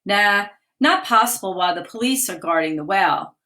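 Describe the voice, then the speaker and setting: A woman's voice: mid-range voice, expressive, normal speed, pushed and bright. A woman voicing a skeptical young girl in a children's story, speaking in an offhand, dismissive way.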